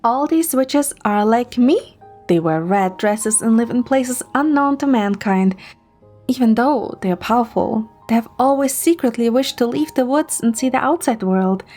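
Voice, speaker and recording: Playful high-voiced young woman, acted by a woman. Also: moderate pace, soft background music. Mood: excited, curious. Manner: teasing, animated, expressive. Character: playful, chatty, curious young woman teasing her friend. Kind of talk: amateur audio drama acting.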